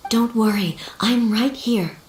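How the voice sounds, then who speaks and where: An actress playing a solemn, commanding warrior ruler in a video game, stoic, formal, grave and measured. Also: female voice, a little high, darkish tone, reverberant, a little hiss or hum. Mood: reassuring.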